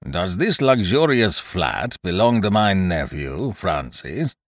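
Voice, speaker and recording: A man reading an audiobook voices a fussy, stingy elderly duke, slow and gloomy in manner. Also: low-pitched, big pitch swings, no echo, studio quality, voice pushed down, exaggerated voice. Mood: disapproving. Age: older. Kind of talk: audiobook character voice.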